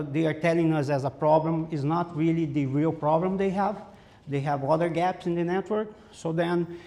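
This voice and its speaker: A man from Brazil with a Brazilian accent, giving a conference presentation in a halting way with many fillers and restarts. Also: a little high, vocal fry, a little mellow. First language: Portuguese. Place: conference room. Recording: echoey room.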